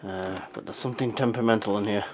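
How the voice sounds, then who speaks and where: A man's voice: on the low side, fairly even intonation, quick pace, a little mellow. A man giving a casual, jokey, muttering running commentary as he hosts a hands-on demonstration vlog. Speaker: video host. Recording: noisy amateur camera audio.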